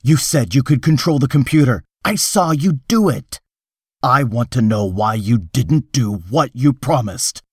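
A man's voice: medium pitch, expressive, a little slow, slightly rough, dry recording, studio quality, pitched above the performer's own voice. A man voicing a desperate hunted killer in a story reading, bitter, accusing and demanding.

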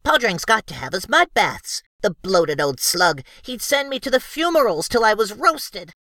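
A man doing a whiny high little gnome. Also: very animated, normal speed, pushed and bright, dry recording, studio quality, exaggerated voice. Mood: fretful. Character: timid male gnome servant, fretful.

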